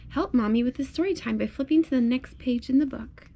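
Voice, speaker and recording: High-pitched woman reading a bedtime storybook. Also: a little fast, mellow. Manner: encouraging, sing-song, simple repeated phrases. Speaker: parent reading a bedtime picture book to a small child. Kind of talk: child-directed storybook read-aloud. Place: indoors at home, on a blanket.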